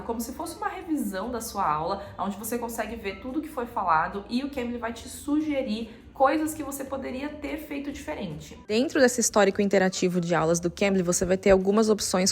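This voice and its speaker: A woman's voice: fairly high pitch, reverberant. A Brazilian woman with a Brazilian Portuguese accent, chatting casually to camera as a vlogger and dropping frequent filler tags.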